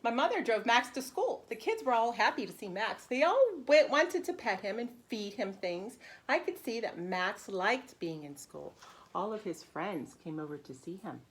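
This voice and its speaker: A woman preschool teacher reading a storybook aloud in an animated, simple, child-directed way with expressive emphasis. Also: mid-range voice, normal speed.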